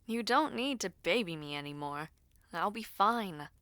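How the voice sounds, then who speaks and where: A woman's voice: medium pitch, expressive, unhurried, pushed and bright, dry recording. A defiant young prince, played by a woman in a dramatic audio story. The boy is proud, hot-headed and scornful, heard in a dry, close recording. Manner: scornful, challenging.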